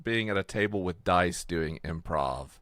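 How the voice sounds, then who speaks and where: A man's voice: sits low, lively intonation, measured pace, fairly bright tone, dry recording, clean studio sound. A man talking casually and conversationally as a podcast host, explaining his project. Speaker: podcast host and game creator.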